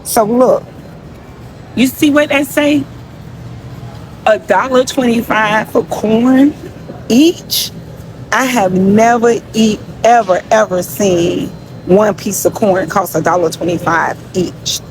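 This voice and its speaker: Slow talking woman, casual vlog rant, kinda folksy, lots of noise behind her. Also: medium pitch. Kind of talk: casual complaint vlog to followers. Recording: noisy ambient background.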